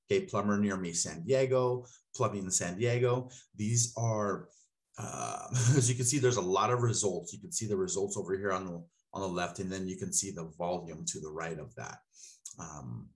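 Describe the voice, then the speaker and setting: A man in his thirties, a marketing consultant, casually walking viewers through a screen-share tutorial and often checking in with "okay?" and "right?". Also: lowish voice. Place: small room at a desk.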